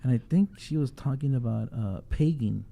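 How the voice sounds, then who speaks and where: A man hosting a casual chat, asking halting questions full of fillers. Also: mid-range voice, creaky, warm tone. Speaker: host.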